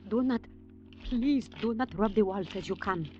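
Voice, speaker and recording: A woman's voice: mid-range voice, normal speed, natural voice. An actress playing a passionate, fearless fugitive woman in a 1940s radio drama, speaking in an imperious, ornate way. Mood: hushed, guiding. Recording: dull vintage radio recording with organ music.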